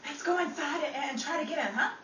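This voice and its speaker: A woman playing a brash, sarcastic, star-struck schemer gal in a melodramatic 1930s New York radio comedy. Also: sits high. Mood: cajoling. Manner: sarcastic, melodramatic, fast-quipping. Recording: echoey distant stage recording, loud noise, audience.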